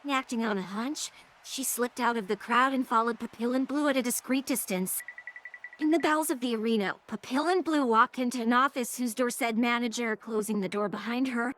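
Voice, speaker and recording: A woman's voice: a little high, a little fast, a bit bright. A woman narrating a scripted story as the narrator of an audio drama. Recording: clearly noisy background.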